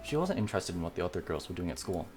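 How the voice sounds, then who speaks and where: Man reading as easygoing, animated demi-human girl friend. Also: deep voice, racing, soft background music. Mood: fond, teasing.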